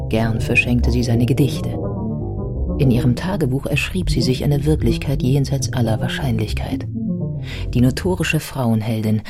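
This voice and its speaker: Eine Frau erzählt als Sprecherin eines literarischen Hörspiels, gemessen und deutlich artikuliert. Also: female voice, very deep voice. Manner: measured, articulate. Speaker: narrator. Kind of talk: scripted literary radio narration. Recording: music behind the voice. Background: prominent music.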